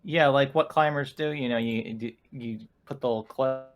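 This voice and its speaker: A man's voice: mid-range voice, moderate pace. A man chatting casually as a player in a tabletop roleplaying game.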